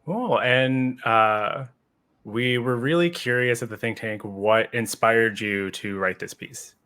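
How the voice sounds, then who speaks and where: A man's voice: mid-range voice, slow pace, pushed and bright, smooth. A man hosting a podcast, interviewing guests in a conversational style.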